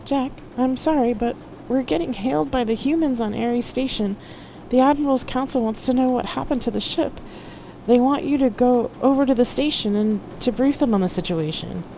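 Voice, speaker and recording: A woman voicing a gentle, sorrowful, loyal male ship intelligence in a sci-fi audiobook, with noise behind the voice. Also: on the high side, normal speed, vocal fry, voice pushed up, poor audio. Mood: apologetic. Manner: gentle, apologetic. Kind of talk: dramatic sci-fi audiobook dialogue.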